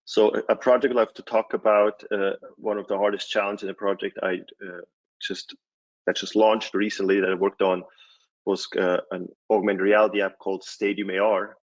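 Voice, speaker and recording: A man from Switzerland in his forties giving a conference talk, relaxed, with many fillers and restarts. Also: lowish voice, moderate pace, lo-fi sound. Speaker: conference speaker, company CEO. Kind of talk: presentation talk.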